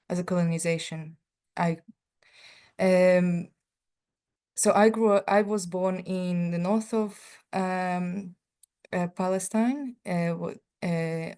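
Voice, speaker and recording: A middle-aged woman, speaking on a panel and sharing a personal account in a halting way, full of fillers and restarts. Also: on the low side, darkish tone.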